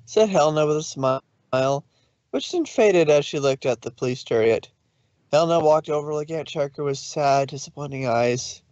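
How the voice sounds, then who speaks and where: A man's voice: fairly high pitch, moderate pace, darkish tone, no echo, muffled recording. A man narrating a story aloud in a dramatic reading style.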